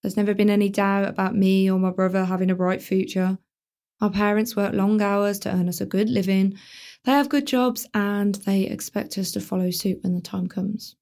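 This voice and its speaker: An actress playing a quick-tempered, wry teenage schoolgirl in a radio drama, with a sarcastic, dramatic delivery. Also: medium pitch, fairly even intonation, darkish tone, lightly acted.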